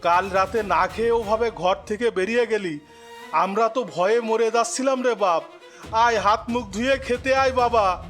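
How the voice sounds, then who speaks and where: A man plays a worried parent in a cartoon story. The voice is high-pitched, anxious and tender, with faint music behind it. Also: moderate pace, fairly bright tone. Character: anxious, caring parent of a grown son. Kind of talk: cartoon drama acting.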